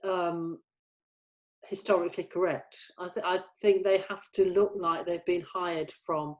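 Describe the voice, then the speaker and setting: A middle-aged woman chatting casually as a producer in a planning meeting, agreeable and a little halting. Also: fairly low pitch, steady tone.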